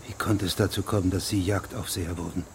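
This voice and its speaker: An actor playing a formal, persistent investigating judge who questions witnesses precisely in a German radio drama. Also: male voice, low-pitched, fairly even intonation, fast, creaky, background noise. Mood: critical, insistent.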